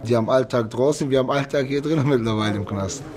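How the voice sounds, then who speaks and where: Mid-pitched colloquial man in his thirties. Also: fast talker, a crowd in the background. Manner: simple colloquial phrasing, often dropping articles. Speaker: prison inmate being interviewed. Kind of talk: casual spoken interview account.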